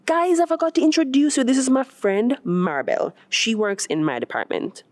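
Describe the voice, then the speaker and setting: Woman voicing a friendly young office worker, lifted pitch. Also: normal speed, a little mellow, no room sound, lightly acted. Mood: cheerful, sociable.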